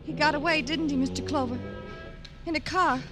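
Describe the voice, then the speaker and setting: A woman's voice: sits high, steady tone, fairly quick, music in the background, lightly acted. An actress playing an anxious, trusting young woman in a 1950s radio drama. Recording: vintage radio broadcast, noisy background.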